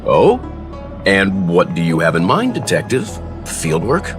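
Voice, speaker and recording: A man voicing a calm, middle-aged mentor in a video game, measured, polite and dryly humorous. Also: deep voice, over music, full character voice. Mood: dryly amused. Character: calm, composed, gently amused male mentor.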